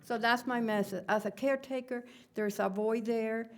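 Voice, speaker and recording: A woman's voice: on the high side, steady tone, moderate pace. A woman caretaker speaking on a public panel, direct and pleading.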